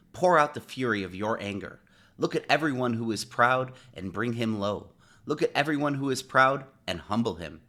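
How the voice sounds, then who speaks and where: A man giving a dramatic scripted narration of the Bible, in a solemn, declamatory manner with measured phrasing. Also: mid-range voice, sharp tone.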